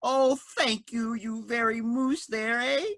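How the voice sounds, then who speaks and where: A woman's voice: fairly high pitch, steady tone, slow, fairly bright tone, no room sound, clean studio sound. A woman playing a folksy, earnest Canadian cop trapped inside a robot, with a thick Canadian accent, in a comedic audio drama. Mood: folksy, forlorn.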